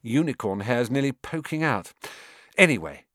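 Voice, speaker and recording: A man voicing a blunt, chatty eleven-year-old schoolboy with a quick offhand manner. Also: medium pitch, very animated, a bit bright, dry recording, studio quality.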